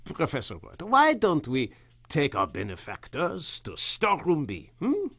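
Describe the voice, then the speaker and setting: An actor in a radio drama playing a haughty, fawning museum official who hems and haws in an oily manner. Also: male voice, a little high, wildly swooping pitch, unhurried, a bit bright, slightly rough, exaggerated voice. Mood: ingratiating. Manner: oily, hemming and hawing. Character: fawning, haughty male museum official, quick to flatter wealth.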